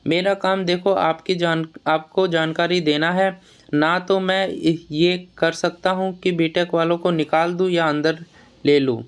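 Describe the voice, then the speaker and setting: A man's voice: on the high side, fairly even intonation, measured pace, clear, resonant tone. A man giving an informal, explanatory update to students in a plain-spoken, admonishing way, often repeating words and phrases. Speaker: exam news vlogger advising job aspirants.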